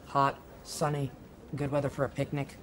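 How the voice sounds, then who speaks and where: An actor playing a troubled teenage high school boy in an amateur radio drama, slangy and dramatic in delivery. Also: monotone, moderate pace, a bit bright, slight background noise, lightly acted. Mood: wistful.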